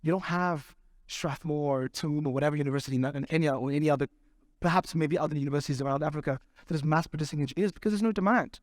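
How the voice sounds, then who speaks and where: A man's voice: fairly high pitch, quick pace, no room sound, clean studio sound. A man speaking at a conference, answering audience questions in a loose, conversational way, often checking in with the room. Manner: conversational, keeps checking in with "right?".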